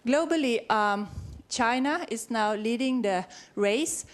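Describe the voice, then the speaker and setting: A middle-aged woman from Sweden with a Swedish accent, giving an informal conference talk in English as a researcher, often correcting herself as she goes. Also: a little high. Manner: hesitant, halting second-language phrasing with self-corrections. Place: conference venue, speaking live to an audience.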